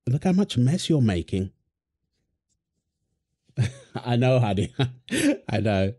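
A man's voice: medium pitch, very animated, slow, dark tone. A man performing a wholesome audio roleplay as a husband and dad, teasing his partner and cooing fondly at their baby with a playful manner.

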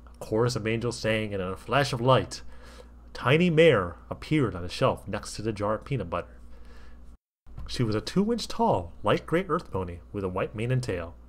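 A man's voice: fairly low pitch, sing-song, normal speed. A man reading comic fan fiction aloud as a storybook narrator, with wry, theatrical asides to the listener.

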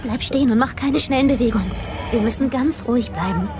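A woman's voice: on the high side, fast, over music, close to an everyday voice. A woman playing a spirited, plucky young girl in a fairy-tale audio drama. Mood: concerned. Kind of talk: German audio drama acting.

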